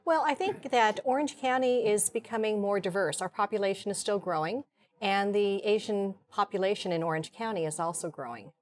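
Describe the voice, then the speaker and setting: A middle-aged woman's voice of medium pitch, calm and measured as she answers questions as a studio guest. Her voice comes through clearly on a lapel mic. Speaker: county supervisor, guest. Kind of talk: TV interview.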